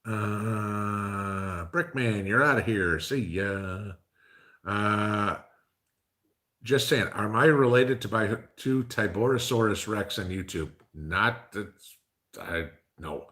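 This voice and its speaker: Rambling low-voiced man reading chat. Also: takes it slowly, fairly bright tone. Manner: rambling, conversational, frequent uh fillers. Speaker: livestream host reading chat. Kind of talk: casual live-stream chat.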